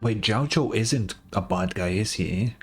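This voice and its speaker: A man's voice: lowish voice, unhurried, slightly rough. A man giving casual live reactions to a video game, often repeating words as he hesitates.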